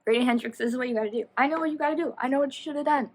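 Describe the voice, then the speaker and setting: A woman giving a casual, chatty book review as a vlogger, animated and slangy, often rambling and interrupting herself. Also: high-pitched, very fast, slightly rough.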